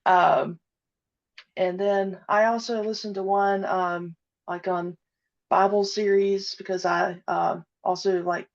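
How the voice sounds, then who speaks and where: A woman guest talking casually at a middle pitch, pausing often on "uh" and "um." Her voice comes through a slightly dull, lo-fi line. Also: flat delivery, creaky. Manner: hesitant, many "uh" and "um" fillers. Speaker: podcast guest, audio drama creator. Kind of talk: casual interview chat.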